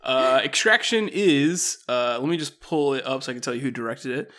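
A man's voice: mid-range voice, normal speed. A man hosting a podcast, chatting casually about a movie with lots of fillers and laughs. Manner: relaxed, laughing, many fillers. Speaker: podcast host. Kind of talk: casual movie review chat.

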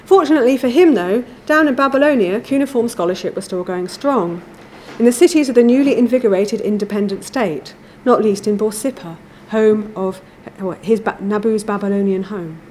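A woman giving a measured, formal academic lecture from a prepared text. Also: mid-range voice, a little mellow, a little hiss or hum. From England.

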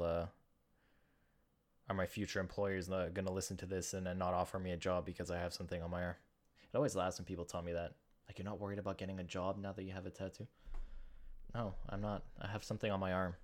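A young man with a slight lisp, hosting a solo podcast and rambling through jokey, self-deprecating stories. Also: sits low. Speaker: podcast host. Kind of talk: casual solo podcast monologue.

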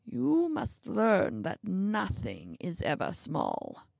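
A woman voicing a sinister, irascible old male villain in an audiobook, with a slow, grumbling, contemptuous delivery. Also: medium pitch, huge pitch swings, creaky, a little mellow, dry recording, studio quality, exaggerated voice. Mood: stern.

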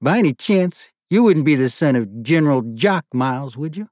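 A man voicing an authoritative middle-aged army colonel who talks in a commanding, booming way. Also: expressive, normal speed, a little mellow, dry recording, studio quality, natural voice. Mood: jovial.

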